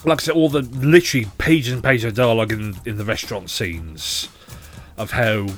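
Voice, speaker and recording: A man co-hosting a podcast in casual chat, animated and opinionated, quick to joke. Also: mid-range voice, soft background music. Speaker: podcast co-host.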